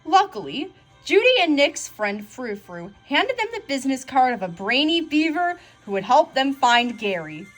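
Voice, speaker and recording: High-voiced woman reading a children's story. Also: sing-song, moderate pace, faint music behind. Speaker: storybook reader. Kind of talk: children's read-aloud narration.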